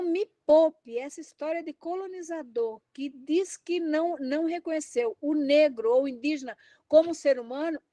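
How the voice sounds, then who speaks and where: A middle-aged woman with a Brazilian Portuguese accent delivers an impassioned talk, her voice high and bright. She exclaims and presses each point with open indignation. The sound is dry and close. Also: no room sound. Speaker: invited lecturer.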